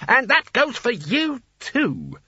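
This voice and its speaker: Man putting on a pompous, bossy wizard, swooping pitch. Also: very animated, bright, forceful tone, dry recording, lo-fi sound, exaggerated voice. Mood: angry. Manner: brisk, bossy, exasperated. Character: pompous, bossy, irritable male wizard ringleader.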